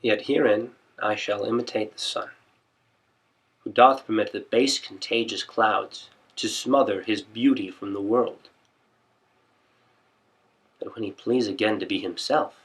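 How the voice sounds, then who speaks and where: A man performing a classical soliloquy as a calculating young prince, measured, confident and declamatory. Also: fairly low pitch, fairly even intonation, breathy edge, poor audio. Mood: proud, scheming.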